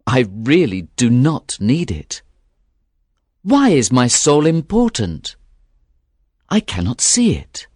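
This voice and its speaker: A woman's voice: extremely low pitch, big pitch swings, slow, pauses often, warm tone, husky, no echo, clean studio sound, pitched below the performer's own voice, full character voice. A woman reading a storybook aloud, voicing a young male fisherman who pleads earnestly. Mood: pleading.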